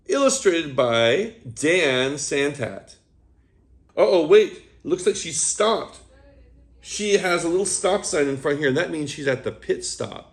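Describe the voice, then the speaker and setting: A man reads a picture book aloud for young children, telling it as an animated storyteller. His voice sits high and swoops widely, and he keeps an unhurried pace. He stops now and then to talk to his young listeners. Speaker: children's read-aloud host.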